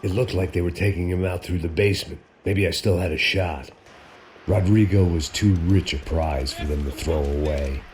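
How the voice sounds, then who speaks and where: An actor as a very deep, jaded action hero. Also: male voice, moderate pace, background noise, lightly acted. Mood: brooding, weary. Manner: world-weary, deadpan. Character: jaded, hard-drinking middle-aged male action hero. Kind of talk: hardboiled noir voice-over narration.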